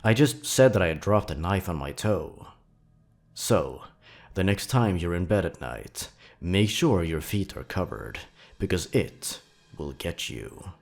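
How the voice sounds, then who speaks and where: A man's voice: low voice, sing-song, a little slow, gravelly. A man narrating scripted horror stories with a dramatic, suspenseful delivery. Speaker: narrator, host of a horror story countdown.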